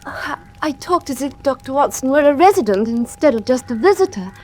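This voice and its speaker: An actress playing an anxious, secretive young gentlewoman in a radio drama, urgent and guarded. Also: sits high, expressive, brisk, soft background music. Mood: guarded, polite. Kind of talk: classic radio drama acting.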